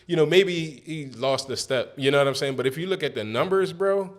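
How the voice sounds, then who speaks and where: A man's voice: on the high side, brisk. A man hosting a podcast, asking questions and chatting in an easygoing way with many casual fillers. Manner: easygoing, chatty, lots of "you know what I'm saying". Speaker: podcast host. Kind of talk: casual interview chat.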